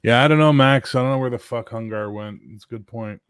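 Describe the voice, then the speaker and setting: A man in casual chat, swearing freely and ribbing his friends with loud jokes. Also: medium pitch, brisk, clean tone, dry recording, clean studio sound. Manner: profane, loud joking banter.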